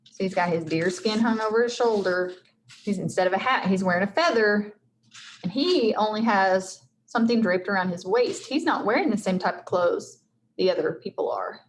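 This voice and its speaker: A woman's voice: mid-range voice, normal speed, slight background noise. A woman teacher reading a storybook aloud expressively, with simple explanatory asides for children.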